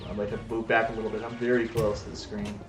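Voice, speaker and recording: A man's voice: on the low side, completely flat delivery, fast talker, faint music behind. A Canadian man in his forties with a light accent, casually chatting on a live stream, greeting viewers and joking about his own skills. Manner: chatty, greets viewers by name, self-deprecating.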